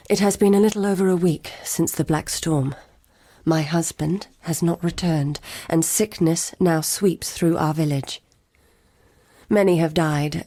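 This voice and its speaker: An actress playing a haunted, fearful village wife and mother, giving grave, deliberate journal narration for a video game. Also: lowish voice, mellow, breathy edge, dry recording, clean studio sound. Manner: slow, grave, deliberate storytelling.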